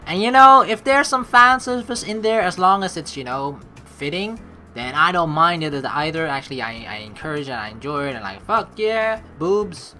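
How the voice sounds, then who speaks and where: A woman giving casual, excitable gameplay commentary in an informal way, swearing freely. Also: sits low, normal speed, faint music behind. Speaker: let's play streamer. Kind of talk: casual gameplay commentary, reading game text aloud.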